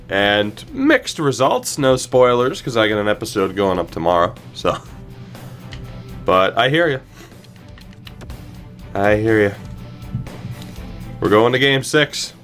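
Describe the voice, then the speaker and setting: A man commentating on a video game livestream, talking to his viewers in an animated way and repeating phrases for emphasis. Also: mid-range voice.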